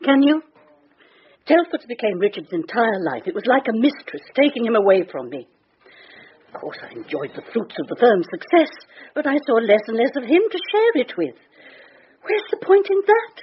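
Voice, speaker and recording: An actress playing a bitter, neglected wife with a British accent in a radio drama. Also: female voice, on the high side, very animated, normal speed, highs cut off. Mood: bitter, defensive.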